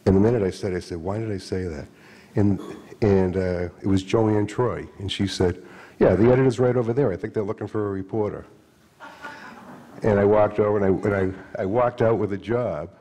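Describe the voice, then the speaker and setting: An older author recalling his past on stage, halting and soft with many pauses. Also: on the low side, a little hiss or hum. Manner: halting, storytelling, lots of fillers and restarts trailing soft. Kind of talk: onstage conversation. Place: stage of a hall with an audience. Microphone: handheld mic. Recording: long hall reverb.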